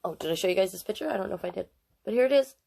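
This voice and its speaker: A woman in her late forties hosting storytime, reading aloud to children with expressive emphasis and chatty asides. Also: mid-range voice, racing, gravelly, husky. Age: late 40s.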